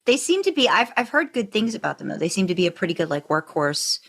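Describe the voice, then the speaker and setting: A woman's voice: medium pitch, sing-song, fast, fairly bright tone, slightly rough. A woman hosting a podcast interview in a casual, informal, rambling conversational way. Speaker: podcast host interviewing a guest.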